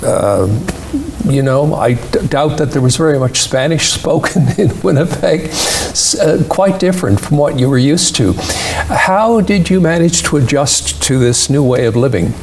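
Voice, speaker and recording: A man hosting an interview, asking measured questions with frequent pauses and fillers. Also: fairly high pitch, expressive, a little hiss or hum. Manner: deliberate with many hesitations and fillers. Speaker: interview host.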